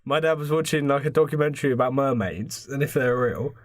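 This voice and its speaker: A man's voice: mid-range voice, fairly quick, no echo. A man chatting casually as a podcast co-host, with a jokey, irreverent manner. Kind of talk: casual comedic chat.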